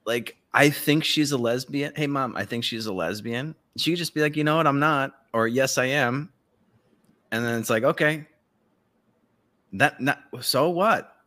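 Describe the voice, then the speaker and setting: A man with a medium-pitched voice talks as a podcast co-host. His style is loose, comedic banter. He is irreverent and swears freely, rambling through his points. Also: a little slow, pushed and bright.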